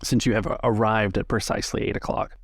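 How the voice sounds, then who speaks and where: A man working as game master, narrating a tabletop game in a halting, conversational way. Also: fairly low pitch, little pitch movement, creaky, breathy edge, no room sound, clean studio sound.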